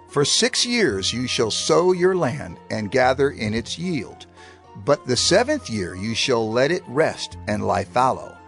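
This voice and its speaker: A gravelly, stern God hands down law in an audio Bible drama. The mid-pitched male voice is solemn and declamatory, swinging widely in pitch for emphasis. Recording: music under the voice.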